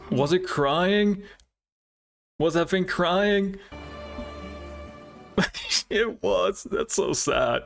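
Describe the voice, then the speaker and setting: A man's voice: high-pitched, drawn-out words, gravelly, pauses often. A man hosting a reaction video, commenting casually and hesitantly with music behind him. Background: music from the game trailers.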